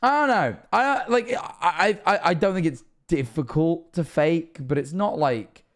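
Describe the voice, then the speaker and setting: A man streaming casual reaction commentary, hoarse from losing his voice, talking in halting restarts. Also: a little high, lively intonation.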